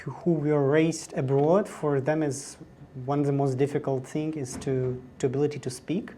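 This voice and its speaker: Mellow-voiced interviewer asking questions. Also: medium pitch, fairly even intonation, normal speed, slight background noise. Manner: non-native English, some broken phrasing.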